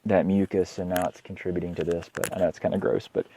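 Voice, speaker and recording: A slightly hoarse man with a cold hosts an informal health podcast as a doctor, speaking haltingly, repeating words and often clearing his throat. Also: low voice, level tone, mellow. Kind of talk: informal educational health monologue.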